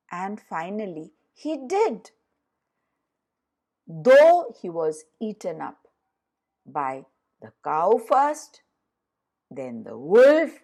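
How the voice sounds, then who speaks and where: A woman storyteller narrating a fairy tale for children in an expressive way, addressing her listeners directly. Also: on the low side, breathy edge.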